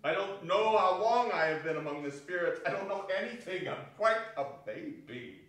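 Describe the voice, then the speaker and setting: A man's voice: high voice, animated, normal speed, fairly bright tone. A man playing an overjoyed, reformed old man in an exuberant, declamatory stage reading of a Victorian story. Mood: giddy wonder. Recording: echoey hall, distant microphone.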